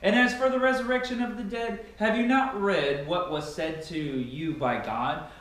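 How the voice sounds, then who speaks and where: A man's voice: high voice, steady tone, normal speed, fairly bright tone. A man giving a solemn, declamatory dramatic reading of scripture as an amateur reader. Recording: very echoey, reverberant room.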